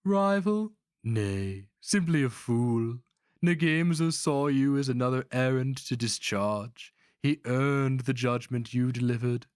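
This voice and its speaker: A man's voice: medium pitch, slow pace, clean tone, dry recording, clean studio sound, voice pushed up, lightly acted. A man voicing a haughty, contemptuous monstrous sorcerer grand master in archaic, formal speech for a fantasy story. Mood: sly, contemptuous.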